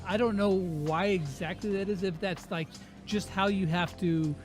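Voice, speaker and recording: A woman's voice: fairly low pitch, normal speed. A woman interviewer chatting casually and putting questions in an informal way. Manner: relays fan questions informally. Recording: music behind the talk.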